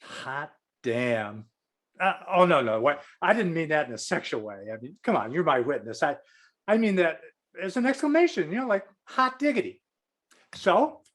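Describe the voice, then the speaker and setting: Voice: mid-range voice, sing-song, normal speed. An actor playing a clueless, tactless politician boss in a comedic table read over a video call, stammering and exclaiming. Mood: flustered, scheming. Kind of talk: comedic script acting. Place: remote video call reading.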